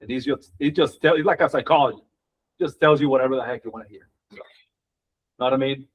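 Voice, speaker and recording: A man's voice: mid-range voice, fast, husky, low-quality recording. A man who is a real estate agent, talking casually in a team meeting, hesitant, with many restarts and fillers.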